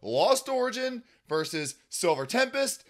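A man's voice: high-pitched, sing-song, unhurried, a bit bright. A man in his thirties hosting a card-opening video, upbeat and talking to viewers as "y'all" while losing his voice. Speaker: card-opening channel host. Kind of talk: excited card unboxing chat. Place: indoor room at a table.

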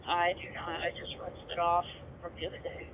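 A man's voice: high voice, monotone, fairly quick. A man working as an emergency responder, speaking in terse transmissions over a two-way radio. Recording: thin, noisy phone-like scanner radio.

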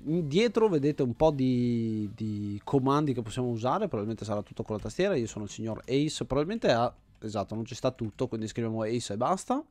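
A man's voice: medium pitch, a little mellow, no room sound. A man hosting a gaming channel, giving casual let's play commentary, thinking aloud with enthusiasm.

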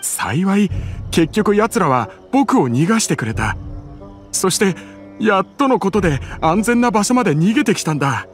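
A man's voice: sits high, big pitch swings, normal speed, soft background music. A man voicing a nervous young male robbery victim who speaks in an anxious, shaken way. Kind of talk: Japanese game voice acting.

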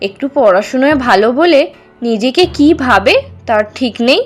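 A woman's voice: a little high, expressive, moderate pace, soft background music. A woman voicing a snobbish, disdainful young college girl in a cartoon, with a haughty, mocking way of talking. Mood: irritated. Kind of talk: Bengali animated story dialogue.

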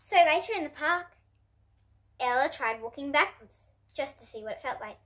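Bright, lively young girl reading a storybook. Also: high voice, moderate pace. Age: child, about ten to twelve. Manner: expressive, presentational reading to young listeners. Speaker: children's picture book reader, host. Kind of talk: storybook read-aloud for children. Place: indoors at home, in front of bookshelves.

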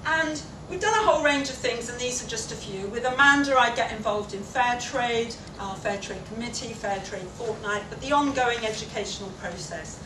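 A woman speaks from a lectern in a large lecture room, explaining her points steadily, with a filler here and there. Her voice sits a little high. It reaches the mic from a distance, and the room echoes, with a clear hum of ambience underneath. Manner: measured, explanatory, with occasional fillers. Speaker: keynote speaker. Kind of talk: conference keynote speech.

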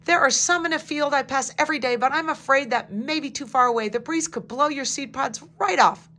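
A woman reading a picture book aloud, voicing an eager, kind little male sparrow in a high, excitable voice. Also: fairly quick. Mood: excited.

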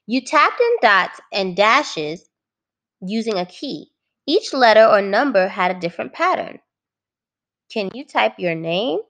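Female primary teacher for a children's read-aloud: mid-pitched, very animated intonation, clear instructive phrasing. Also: unhurried. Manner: clearly phrased, instructive, addressing young listeners.

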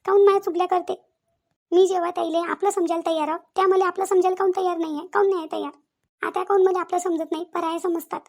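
Lady doing a super high fast cartoon daughter-in-law, hurt and stubborn, keeps repeating herself. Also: steady tone, a little mellow. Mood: hurt, aggrieved. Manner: repeats phrases insistently, rhetorical questions. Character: aggrieved young daughter-in-law, tearful, stubborn, complaining. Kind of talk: Marathi cartoon family comedy-drama acting.

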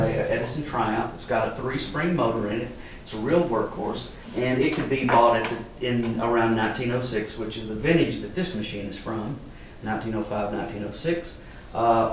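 An older man gives an informal, folksy explanatory talk as an archivist demonstrating to a small group. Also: mid-range voice. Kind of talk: informal live lecture. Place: wood-paneled salon with small audience. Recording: echoey room, distant mic, faint noise.

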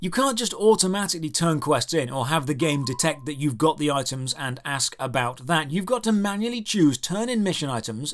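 A man narrating a scripted comedic video game review in a sarcastic, exasperated manner. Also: a little high. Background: video game music.

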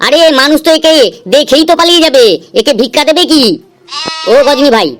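A woman's voice: high voice, brisk, slight background noise, lightly acted. A woman voicing a bossy, self-important older male boss of beggars in a comedy cartoon, given to scolding. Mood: impatient, scornful.

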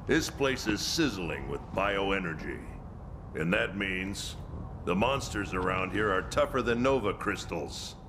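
An actor playing a gruff, jovial veteran admiral in a video game cutscene, hearty and boastful with frequent chuckles. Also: male voice, low voice, lively intonation, slow, background noise. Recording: game cutscene with loud music.

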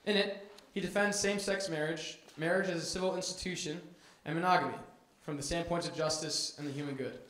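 A young man, a college senior, loudly reading formal speaker introductions from notes into a handheld microphone at a lectern. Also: fairly high pitch, steady tone, a little fast, few pauses. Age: young adult. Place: large lecture hall. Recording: echoey lecture hall.

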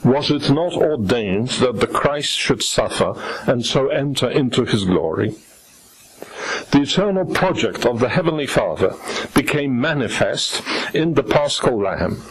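Older husky man lecturing, native Polish speaker. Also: medium pitch, animated, unhurried, poor audio. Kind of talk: formal scripted theological lecture. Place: lecture stage at a lectern, indoors. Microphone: gooseneck lectern microphone.

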